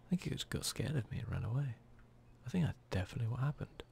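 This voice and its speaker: A man doing hushed, murmured ASMR commentary over a video game, talking to himself close to the microphone. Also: medium pitch, animated, fast talker, creaky, a little hiss or hum. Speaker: gaming video host.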